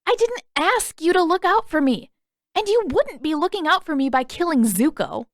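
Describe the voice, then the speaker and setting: A woman's voice: extremely high pitch, sing-song, moderate pace, dry recording, studio quality, voice pushed up, exaggerated voice. A woman voicing an indignant, stubborn teenage girl with snappish, defensive retorts in an audiobook-style story. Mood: angry, snapping.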